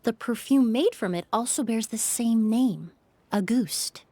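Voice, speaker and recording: A woman voicing a composed young perfumer in a video game, speaking in a measured, explanatory way. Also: a little high, dark tone, no echo. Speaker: perfumer with medical knowledge. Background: faint game music.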